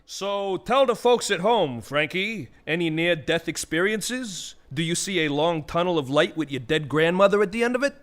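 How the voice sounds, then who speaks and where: A man's voice: high-pitched, lively intonation, moderate pace, few pauses, a bit bright. A man playing a bitter, sarcastic vulture with thwarted ambitions, ranting theatrically in a comic audio play. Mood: taunting.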